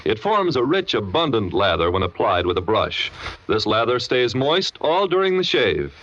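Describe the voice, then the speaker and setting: Male vintage radio pitchman, lively mid-range delivery, dull hissy old recording. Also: normal speed. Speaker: radio commercial announcer. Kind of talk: 1940s radio commercial read. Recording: muffled, noisy 1940s radio recording.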